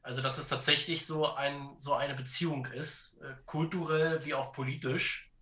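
A man speaking as a podcast guest, explaining history and politics conversationally in a halting way, searching for words and checking in as he goes. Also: on the high side, flat delivery, sharp tone.

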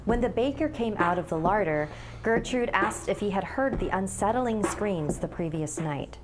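A woman's voice: medium pitch, lively intonation, moderate pace. A woman in her thirties giving a scripted, dramatic narration as a scary-story teller. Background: background music.

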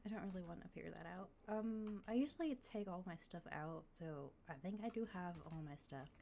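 Slightly raspy woman streaming casually. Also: lowish voice, animated, fairly quick, mellow, a little hiss or hum. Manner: chatty, thanks followers, thinks aloud with fillers. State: coming down with a cold. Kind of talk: casual live-stream chat.

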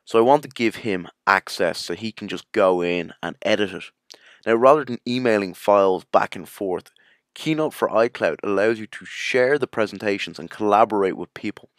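A man presents a screencast tutorial in a low, very smooth and clear voice. He explains things informally, one step after another. The sound is dry and close, with no room echo. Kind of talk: casual screencast software tutorial narration.

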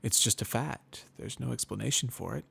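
A man narrating a first-person story as a dramatic reading, in a measured, brooding manner. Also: mid-range voice, vocal fry, fairly bright tone, breathy edge.

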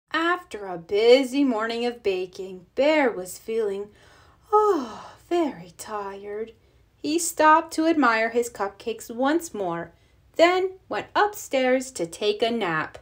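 A woman narrating a children's storybook aloud, expressively, with deliberate storytelling emphasis. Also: fairly high pitch. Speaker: storybook reader.

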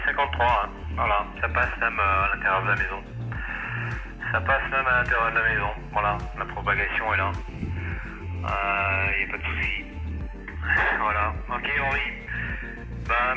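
Guy from france on a walkie-talkie, fairly low voice, loud radio sound behind. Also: steady tone, moderate pace, pauses often, over music, rough recording. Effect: two-way radio. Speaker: amateur radio operator. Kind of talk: radio contact chat.

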